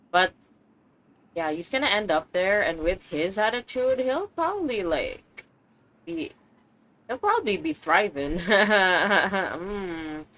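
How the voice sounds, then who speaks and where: A woman's voice: fairly low pitch, fairly bright tone, low-quality recording. A woman streamer giving casual gameplay commentary, musing to herself. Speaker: game streamer. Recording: faint background noise and game ambience.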